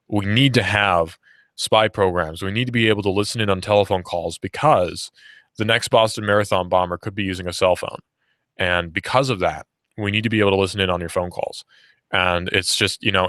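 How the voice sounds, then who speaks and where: A young man behind a studio mic, talking on radio in a low, bright, forceful voice. He argues with sarcasm and drives each point home by repeating it. The sound is close and dry. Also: no echo. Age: young adult. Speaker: radio host. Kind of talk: opinionated talk radio commentary. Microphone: studio microphone.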